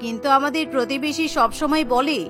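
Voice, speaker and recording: Woman voicing a stern older mother-in-law, lower register. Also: brisk, natural voice. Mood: disapproving. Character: elderly mother-in-law, stern, complaining village matriarch. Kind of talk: Bengali cartoon voice acting. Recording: music behind the voice.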